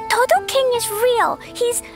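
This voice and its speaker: A woman's voice: extremely high pitch, steady tone, a little slow. A woman voicing an earnest, naive little girl adventurer in an anime video game, with a small childlike voice. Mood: insistent. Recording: loud children's-style music behind.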